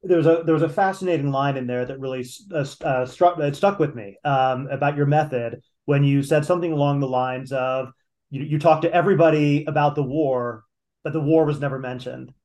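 Halting man interviewer. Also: a little high, a little mellow. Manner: halting, stumbles over words.

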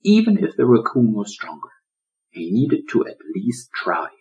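A man narrating a children's story as an audiobook, in a dramatic, expressive storyteller's manner. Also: medium pitch, measured pace, low-quality recording. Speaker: author reading own audiobook.

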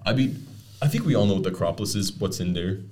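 A man's voice: low voice, expressive, quick pace, a little mellow, slight background noise. A young man co-hosting a podcast, chatting casually and sharing fun facts with growing enthusiasm. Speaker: podcast co-host. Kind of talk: casual chat.

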